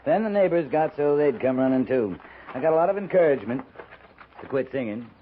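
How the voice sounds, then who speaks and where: Man doing a laconic frontier cavalry captain, dry folksy deadpan, muffled old 1950s radio western sound. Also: medium pitch, slight background noise, natural voice. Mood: dry, easygoing. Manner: dry, unhurried, folksy understatement.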